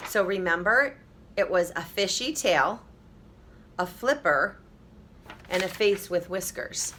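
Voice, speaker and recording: A woman's voice: lowish voice, expressive, slow, fairly bright tone, a little hiss or hum. A woman teacher reading a children's story aloud expressively, with emphasis, addressing young listeners directly.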